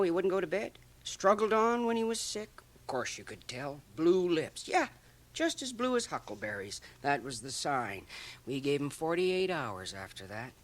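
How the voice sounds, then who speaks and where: A man playing a folksy, gossipy small-town barber with a Canadian accent in a radio drama, chuckling and dropping into confidential asides. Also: a little high. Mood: earnest, mournful. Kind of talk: radio drama acting, rambling monologue. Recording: 1980 radio drama, home cassette transfer.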